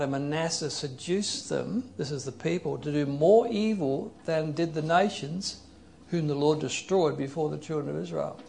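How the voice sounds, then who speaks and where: A man preaching a sermon in an earnest, explanatory way, leading a prayer and reading scripture passages aloud slowly. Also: a little high, vocal fry, darkish tone, a little hiss or hum.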